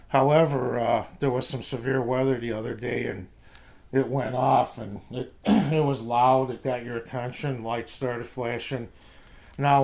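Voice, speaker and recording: A man's voice: mid-range voice, vocal fry. A man casually reviewing and demonstrating a product in a rambling, folksy way. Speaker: radio reviewer. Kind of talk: casual hands-on product demo.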